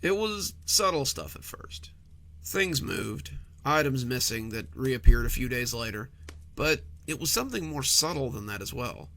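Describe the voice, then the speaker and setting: A man's voice: mid-range voice, big pitch swings, unhurried, sharp tone. A man reading a scripted first-person horror story in a conversational storytelling manner.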